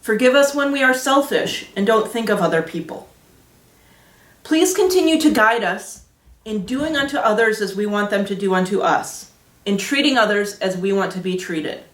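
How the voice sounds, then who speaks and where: A woman's voice: mid-range voice, lively intonation, moderate pace, fairly bright tone. A woman hosting a Sunday school lesson, explaining things in simple terms for children. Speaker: Sunday school storytime host and teacher. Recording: roomy echo, distant microphone.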